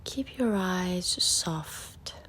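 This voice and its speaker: A woman leading a guided meditation, giving soft, breathy, gentle instructions with long pauses between short phrases. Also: fairly low pitch, animated, whispered. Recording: faint outdoor nature ambience. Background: faint nature ambience.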